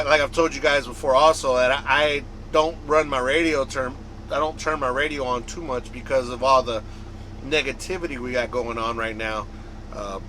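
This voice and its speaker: Bright-voiced man rambling in a casual vlog. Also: mid-range voice, slight background noise, rough recording. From United States. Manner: rambling, conversational, repeats himself. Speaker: trucker vlogger. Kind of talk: casual vlog talk to viewers.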